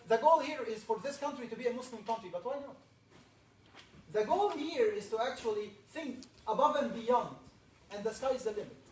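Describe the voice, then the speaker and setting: A man's voice: sits high, a little mellow, a little hiss or hum. A man from Egypt giving an earnest, rallying opening talk to a camp audience, building up emphatic lists. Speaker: camp speaker. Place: camp meeting hall. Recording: echoey room, voice from a distance.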